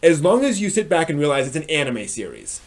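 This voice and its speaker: Male live-stream reviewer, slightly high voice, halting and self-correcting, unscripted delivery. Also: sing-song. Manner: halting, hedging, repeats and rephrases phrases; frequent throat clearing.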